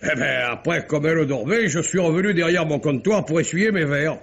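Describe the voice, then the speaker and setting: A man playing a folksy, rambling bistro owner in an old-style French radio mystery, with a gravelly, husky voice. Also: fairly high pitch, fairly quick. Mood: garrulous. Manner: colloquial, rambling, exclamatory. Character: chatty, folksy male bistro owner recalling a drama. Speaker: actor. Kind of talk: 1950s French radio drama acting. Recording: muffled old radio recording.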